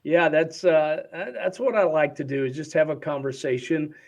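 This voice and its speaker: A man hosting a casual conversational interview, speaking in an informal, halting and chatty way. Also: on the high side. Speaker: podcast host interviewer.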